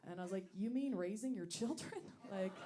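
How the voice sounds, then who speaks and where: A woman in her late twenties with a deep voice doing a deadpan, self-deprecating stand-up set on a comedy club stage. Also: normal speed, slight background noise. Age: late 20s.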